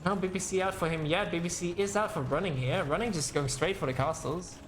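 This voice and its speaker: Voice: medium pitch, brisk, reverberant, slight background noise. A game caster giving casual live commentary and chatting with viewers, rambling, thinking aloud and often self-correcting.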